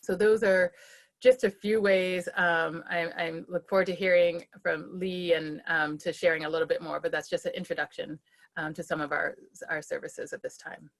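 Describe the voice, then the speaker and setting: A woman with a slightly low voice gives an earnest account on a webinar video call. She speaks steadily as a behavioral health leader and fills her pauses with ums and small restarts. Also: little pitch movement. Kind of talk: webinar panel talk.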